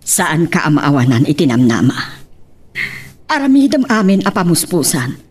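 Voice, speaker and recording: A woman's voice: low voice, big pitch swings, normal speed, darkish tone, husky, full character voice. A woman playing a concerned older mother, reproving and advising, in a radio drama. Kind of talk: melodramatic radio drama acting.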